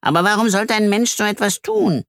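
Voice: mid-range voice, sing-song, a little fast, gravelly, fairly bright tone, no room sound, studio quality, pitched above the performer's own voice. A storyteller voicing a bold, impatient male animal detective who leads with eager questions. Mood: puzzled.

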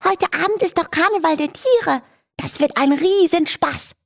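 A woman voicing a tiny, cheerful mouse in a squeaky, chirpy voice. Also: normal speed, creaky.

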